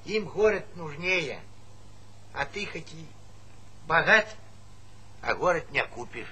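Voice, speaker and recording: Lively, high-pitched old village grandad. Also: male voice, slow pace, slightly rough, full character voice. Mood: sly, needling. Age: older. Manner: folksy, coaxing, nagging repetitions. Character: folksy meddling old village grandad, fussily protective. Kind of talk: Soviet radio play acting. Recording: noisy 1970s radio drama recording.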